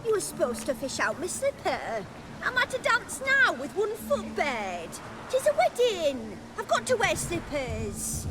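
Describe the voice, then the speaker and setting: A woman's voice: very high-pitched, huge pitch swings, a little slow, very noisy, exaggerated voice. A woman playing a bossy, nagging village girl in a video game, scolding in a rustic manner. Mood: indignant. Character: shrill, bossy, scolding peasant lass. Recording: music behind the voice.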